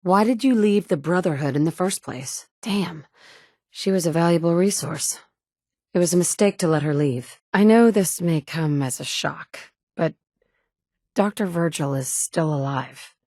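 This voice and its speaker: A dry, blunt game heroine. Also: female voice, on the low side, a little slow, darkish tone, no echo. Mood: terse. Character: tough wry woman survivor, young adult. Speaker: player character. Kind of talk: video game dialogue.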